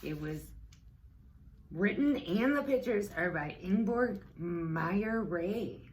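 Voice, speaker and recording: An American woman, middle-aged, reading a children's story aloud expressively and pausing to talk to her young listeners. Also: medium pitch, animated, a little mellow, rough recording. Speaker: teaching assistant reading to children.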